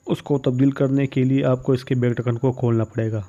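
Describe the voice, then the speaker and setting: Dark-voiced man, flat instructional delivery. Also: mid-range voice, fairly quick. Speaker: phone repair tutorial presenter. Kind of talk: step-by-step instructional voice-over. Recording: dull, muffled lo-fi recording.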